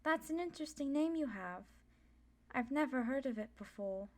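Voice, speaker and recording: A woman's voice: high voice, animated, moderate pace. A woman playing a friendly, confident young female student mage, chatty and forthright, in an ASMR roleplay. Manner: chatty, forthright, self-assured. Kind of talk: ASMR roleplay, casual introduction.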